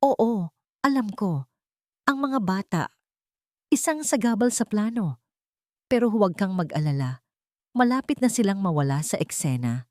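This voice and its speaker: A woman's voice: medium pitch, animated, unhurried, long pauses, darkish tone, no echo, pitched above the performer's own voice, lightly acted. Cold scheming stepmother, played by a female narrator, falsely sweet and menacing, dry storybook drama sound. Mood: quiet menace.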